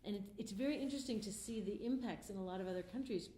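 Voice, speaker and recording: A middle-aged woman talks quickly and earnestly as an interview guest, her voice mellow and soft-edged. The room around her is echoey, with a faint hiss underneath. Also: mid-range voice. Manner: earnest, passionate. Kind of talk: conversational interview answers. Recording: roomy echo, faint background noise.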